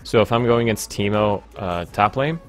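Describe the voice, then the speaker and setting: A man's voice: lowish voice, moderate pace, faint music behind. Stuffy-nosed young man talking games. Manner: rambling and upbeat, many fillers. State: sick, stuffy and congested with sore throat. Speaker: gaming YouTuber. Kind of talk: casual game commentary over screen capture.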